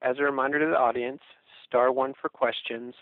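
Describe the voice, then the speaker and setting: A man's voice: mid-range voice, fairly even intonation, fairly quick, no echo. A man making scripted announcements as a conference call operator over the phone. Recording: dry narrow phone line.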